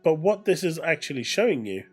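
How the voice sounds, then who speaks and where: A man's voice: a little high, sing-song, brisk, breathy edge. Man giving gaming news commentary over music. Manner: explanatory, repeats phrases for emphasis. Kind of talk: casual commentary, reading an article aloud with asides.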